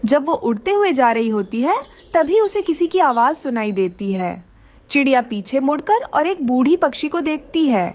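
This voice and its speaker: Woman narrator for children's tales: high-pitched, very expressive melody, scripted storytelling. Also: moderate pace.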